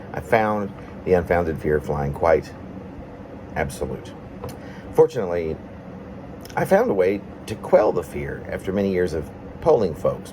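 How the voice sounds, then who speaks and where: A man from Arkansas, a poet presenting and reading his own autobiographical work in an unhurried, personal way. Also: deep voice, noisy background. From Arkansas, United States. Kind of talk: casual introduction, then reading aloud autobiographical prose poem.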